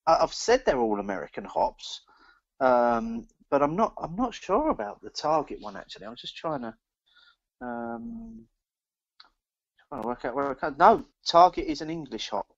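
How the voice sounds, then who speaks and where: Halting man, slightly dull lo-fi sound. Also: a little high, big pitch swings, creaky. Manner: halting, repeats words as he thinks aloud. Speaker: podcast co-host. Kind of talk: casual chat, beer review.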